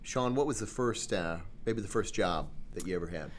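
A man's voice: on the low side, expressive, moderate pace, a little hiss or hum. A man hosting a casual interview, prompting his guest with questions and frequent short affirmations. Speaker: interview host.

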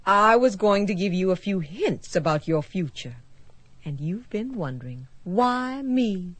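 A woman's voice: mid-range voice, expressive, unhurried, no echo. An actress playing an enigmatic, composed female space traveler with an arch, knowing, polite manner in a radio drama.

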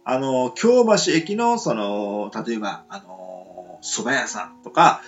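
A man's voice: mid-range voice, animated, slow pace, muffled recording, faint music behind. A man co-hosting a casual radio chat, rambling with many fillers. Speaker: radio show co-host.

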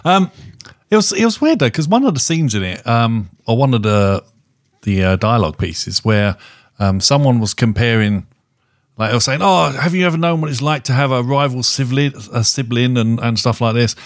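A British man speaks as a podcast co-host in an easy, conversational style. His pitch moves around in a lively way, and the recording is dry. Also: moderate pace, dry recording. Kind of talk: casual chat.

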